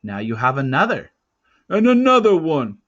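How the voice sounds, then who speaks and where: Gentle, earnest cave boy, played by a male reader, storybook tale, lifted pitch, muffled recording. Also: huge pitch swings, normal speed. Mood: teasing, fond. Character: earnest, gentle young cave boy hunter with bad foot.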